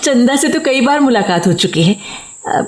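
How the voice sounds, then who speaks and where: An actress playing a talkative, friendly, lonely housewife in an expressive, coaxing manner in a Hindi radio play. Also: female voice, sits high, normal speed. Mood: friendly, eager. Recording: roomy studio radio play with music.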